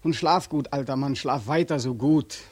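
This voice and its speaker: An actor playing a bitter, despairing young war veteran in a 1940s German radio drama, anguished, sardonic and accusing. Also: male voice, mid-range voice, moderate pace, a little mellow, husky, no room sound, lightly acted. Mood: resigned, weary. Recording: dry 1940s radio studio recording.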